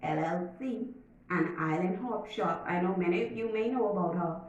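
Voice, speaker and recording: A young Bahamian woman talking casually to her viewers in a vlog, chatty and emphatic, sometimes reading from notes. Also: fairly low pitch. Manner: chatty, emphatic, drawn-out asides. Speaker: YouTuber. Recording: echoey room, mic at a distance, dull sound.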